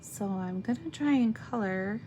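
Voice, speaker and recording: A woman with a slightly raspy voice giving a chatty live craft demonstration, thinking aloud as she stamps and colors. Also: mid-range voice, dark tone, a little hiss or hum. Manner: slow, chatty, thinks aloud while working.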